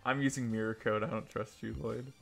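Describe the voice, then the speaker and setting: A man's voice: on the low side, fairly even intonation, brisk, vocal fry, a loud crowd. A man hosting casual game commentary with joking, teasing banter. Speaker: gaming show host.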